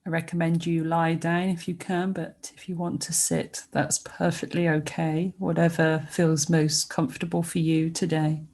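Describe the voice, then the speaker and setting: Woman, sleep meditation narration, soft relaxed tone, even and flat intonation, gentle, pausing between short phrases. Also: sits low. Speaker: meditation guide. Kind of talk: guided sleep meditation, soothing scripted narration.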